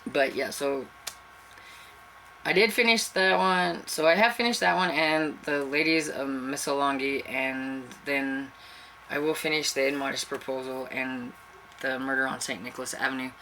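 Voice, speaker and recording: A woman hosting a book channel chats loosely to camera, circling back on herself with plenty of fillers. She has a cold, so she sounds stuffy-nosed and a touch raspy. Her tone stays bright and sharp, with a faint hiss of noise behind her. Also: rumbling low, fairly even intonation, moderate pace, low-quality recording. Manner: rambling and chatty, with many fillers and self-restarts. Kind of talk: casual chat to camera.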